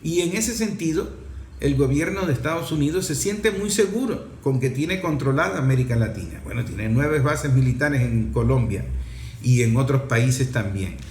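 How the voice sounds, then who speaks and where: A man's voice: medium pitch, animated, normal speed, a little mellow. Male political commentator, emphatic, explanatory, unscripted, echoey room, faint background noise.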